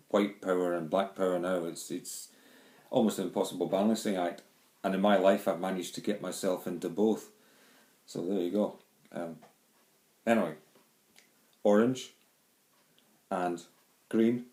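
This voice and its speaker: Glaswegian man, middle-aged, lowish voice, halting and rambling, casual home video, roomy echo. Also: steady tone, darkish tone. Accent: Glaswegian Scottish. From Scotland. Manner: rambling, halting with many ums and restarts. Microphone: handheld phone or camera. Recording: echoey room.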